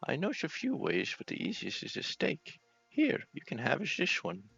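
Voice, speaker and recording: A boozy old doctor from a fantasy game, pitched way up and loud. He rambles in a slurred, swooping drawl, and the sound is slightly dull. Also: wildly swooping pitch, moderate pace, gravelly, slightly rough, faint music behind, exaggerated voice. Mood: earnest warning. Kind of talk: video game character voice.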